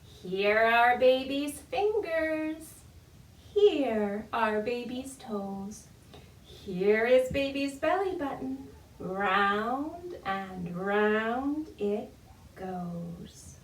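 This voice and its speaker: High-voiced woman leading storytime in an echoey room. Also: expressive, drawn-out words, slight background noise. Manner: playful, encouraging, simple child-directed phrasing. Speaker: children's librarian leading baby storytime. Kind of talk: sing-song storytime with rhymes, songs and tips to parents. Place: indoor room, recorded virtual session. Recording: echoey room, distant microphone.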